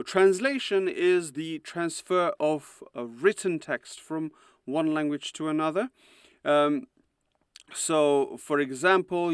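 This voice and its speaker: A man whose native tongue is Uyghur speaks in an explanatory conference-lecture manner, pausing often on soft "uh"s. His voice sits a little high for a man and sounds smooth and resonant. The recording is close and dry, with no room echo. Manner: explanatory, many filler "uh"s, measured. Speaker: university lecturer and translator. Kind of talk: conference talk.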